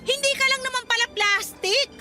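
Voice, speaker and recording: A confrontational young office worker in a radio drama. Her voice is very high, loud and accusing, full of scorn. Music plays clearly behind her. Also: a little fast. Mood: angry, scornful. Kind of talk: Tagalog radio drama acting.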